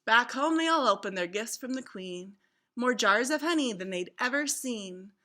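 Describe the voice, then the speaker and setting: High, bright-voiced woman reading to children. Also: moderate pace. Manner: expressive, instructive to children. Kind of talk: children's storybook read-aloud.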